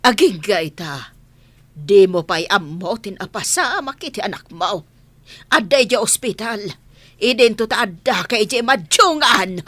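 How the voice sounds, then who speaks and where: A woman's voice: medium pitch, lively intonation, measured pace, a bit bright, no room sound. A woman playing an exasperated, reproachful family matriarch in a radio drama, with an Ilocano accent. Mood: exasperated, scolding. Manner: reproachful, emphatic.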